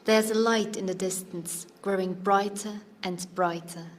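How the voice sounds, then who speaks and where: Woman acting solemn female narrator, mid-pitched, slow pace, poetic, suspenseful, audio drama. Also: steady tone, close to an everyday voice. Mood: mysterious. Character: solemn, mysterious female fairy-tale narrator.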